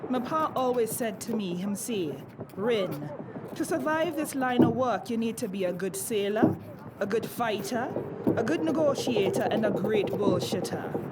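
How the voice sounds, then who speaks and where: A woman's voice: a little high, animated, measured pace, heavy background noise. A woman playing a shrewd, swaggering pirate captain with salty sailor's talk in a fantasy audio drama. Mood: wry, commanding. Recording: loud ship ambience behind voice.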